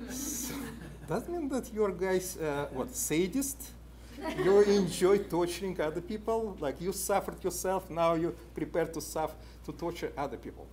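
Lively Russian-accented man lecturing. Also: high-pitched, measured pace, slight background noise. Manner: animated, many "uh" fillers, questions to the audience. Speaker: conference speaker. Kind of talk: lecture to a live audience. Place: indoor meeting room at a podium.

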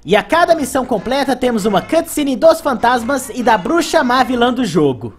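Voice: mid-range voice, big pitch swings, normal speed, soft background music. A narrator with a Brazilian Portuguese accent, reading scripted commentary as a retro video game reviewer.

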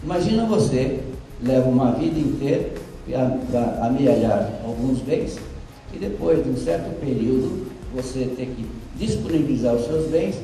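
A man's voice: medium pitch, lively intonation, moderate pace, dark tone, slight background noise. A man giving an earnest lecture from a stage. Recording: echoey hall from far off.

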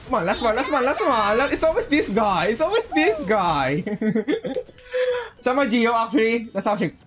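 A game streamer giving casual, excitable live commentary, often repeating short phrases. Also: mid-range voice, normal speed, faint music behind. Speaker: livestreamer playing a game.

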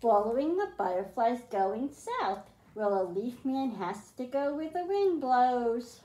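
A middle-aged woman hosting a children's storytime, speaking in simple, deliberate phrases meant for young kids. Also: a little high, sing-song, warm tone. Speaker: children's librarian, storytime host. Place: small library room with bookshelves, indoors. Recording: roomy, echoing indoor sound.

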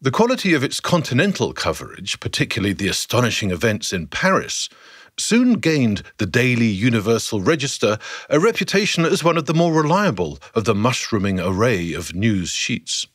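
A man narrating a scripted story for a podcast in a theatrical, measured way with dramatic pauses. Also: mid-range voice, big pitch swings, fairly bright tone, breathy edge, no room sound, clean studio sound.

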